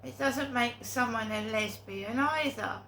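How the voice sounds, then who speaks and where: Slow, halting woman with a cold. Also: a little high, fairly bright tone, slight background noise. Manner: halting, hesitant, many ums and restarts. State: has a cold and sore throat. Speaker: vlogger. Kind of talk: rambling personal monologue.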